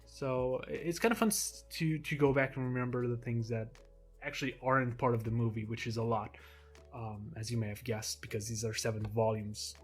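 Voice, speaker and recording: A young man from Portugal whose native language is Portuguese, casually showing his manga shelves in a relaxed, rambling way with frequent ums and restarts. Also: mid-range voice, brisk, faint music behind. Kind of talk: casual collection tour vlog.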